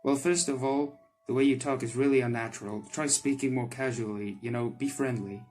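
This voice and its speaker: A man's voice: mid-range voice, fairly even intonation, brisk, a little mellow, natural voice. A man voicing a flustered, kind-hearted young male magician from a game, hesitant and apologetic, with music behind. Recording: background music under the voice.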